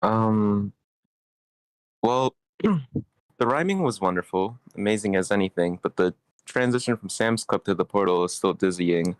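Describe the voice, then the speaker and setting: A man's voice: on the low side, expressive, a little slow, smooth, dry recording, rough recording. A man giving casual, slangy feedback in an online meeting, rambling and stammering as he goes. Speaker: participant giving script feedback.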